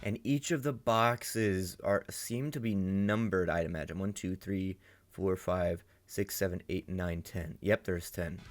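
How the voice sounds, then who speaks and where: Excitable, swearing man reacting to an anime. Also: low-pitched, a little slow, faint music behind. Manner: excitable, profane, thinks aloud with many restarts. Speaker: reaction video host. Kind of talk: casual reaction commentary.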